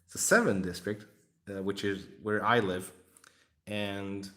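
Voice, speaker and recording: A man's voice: on the low side, fairly even intonation, measured pace, fairly bright tone, roomy sound. A Hungarian man rambling and joking with his live chat while he assembles a miniature. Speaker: livestream hobby host. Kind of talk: casual livestream chat while building a model.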